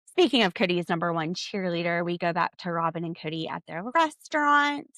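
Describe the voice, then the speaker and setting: A woman's voice: on the low side, animated, brisk, fairly bright tone, no room sound. A woman hosting a solo podcast, recapping a reality TV episode with sarcastic, exasperated commentary. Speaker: podcast host.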